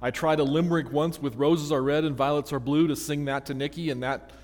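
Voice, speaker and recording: A man's voice: on the high side, fairly even intonation, fairly quick, darkish tone. A man preaching a sermon to his church in a casual, self-deprecating way, often restarting his sentences. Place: church sanctuary. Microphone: handheld or stand microphone. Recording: long church reverb.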